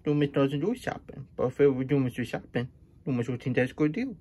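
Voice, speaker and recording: Guy doing a simple sweet hedgehog puppet doing storytime, mellow husky voice, halting and folksy. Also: medium pitch, expressive. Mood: pleased, musing. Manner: speaks of self in third person, halting, folksy. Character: childlike, simple, good-natured hedgehog plush puppet. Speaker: host reading a picture book aloud. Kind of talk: puppet storytime read-aloud with chatty asides.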